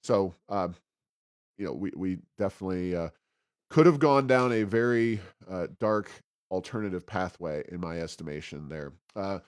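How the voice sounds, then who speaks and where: A man hosting a podcast, giving conversational political commentary with frequent pauses mid-sentence. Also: sits low, animated, smooth, no echo. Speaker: podcast host.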